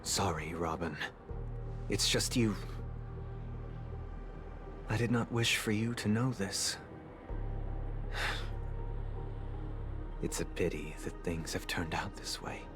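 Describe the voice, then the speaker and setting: A man playing a composed, solemn idealist leader in a video game, speaking in a measured, formal, oratorical way. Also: low-pitched. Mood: regretful. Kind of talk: dramatic video game voice acting. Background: background music.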